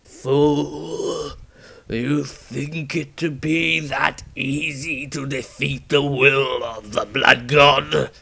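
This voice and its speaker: A man voicing a dying fanatical male cultist villain, gloating with slow, ominous menace in a fantasy story. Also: mid-range voice, fairly even intonation, vocal fry, sharp tone, husky, full character voice. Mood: gloating, menacing.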